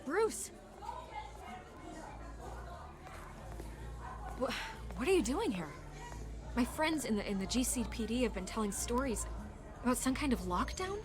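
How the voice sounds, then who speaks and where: A woman's voice: fairly high pitch, sing-song, normal speed. An actress playing a pushy, shrewd woman reporter in a video game drama, confident and probing as she presses for a scoop. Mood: surprised, curious. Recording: music behind the voice.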